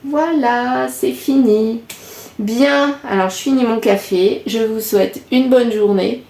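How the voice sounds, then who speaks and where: A woman's voice: fairly high pitch, takes it slowly, gravelly, darkish tone. A woman, giving a chatty card reading with lots of rambling self-corrections. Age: 50s. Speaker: card reader. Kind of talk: casual tarot reading monologue. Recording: echoey room.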